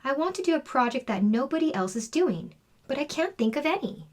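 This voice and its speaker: A woman's voice: high-pitched, big pitch swings, brisk, a little mellow, pitched above the performer's own voice. A woman reading a storybook, voicing a fretful little schoolboy who is stuck for ideas, in a plaintive manner. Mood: glum.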